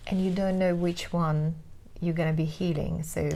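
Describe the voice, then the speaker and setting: A woman's voice: fairly low pitch, flat delivery, moderate pace, gravelly, warm tone, poor audio. A woman hosting a podcast interview, talking eagerly and effusively and repeating her phrases. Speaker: podcast host. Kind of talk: enthusiastic interview chat.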